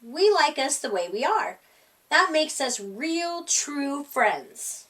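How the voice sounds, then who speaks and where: A gentle, plain-spoken storybook frog played by a woman, content with himself and his friends. The voice is high and takes its time, heard slightly away from the microphone. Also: very animated, slow, recorded from a distance, heavily acted. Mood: reassuring. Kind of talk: children's storybook character reading.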